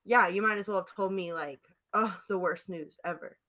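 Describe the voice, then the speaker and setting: A woman's voice: mid-range voice, moderate pace, sharp tone. A woman hosting a podcast in casual comedic chat, with a playful, mock-dramatic and giggly manner. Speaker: podcast host.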